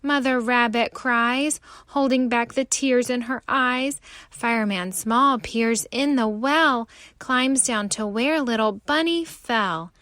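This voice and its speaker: A woman reading a story aloud for young children in an expressive, theatrical way. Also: fairly high pitch, takes it slowly. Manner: animated, theatrical delivery.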